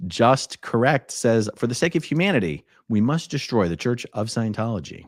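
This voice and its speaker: A man's voice: mid-range voice, big pitch swings, moderate pace, no echo, studio quality. A man hosting a live stream, reading viewer messages and commenting in a casual, blunt, conversational way.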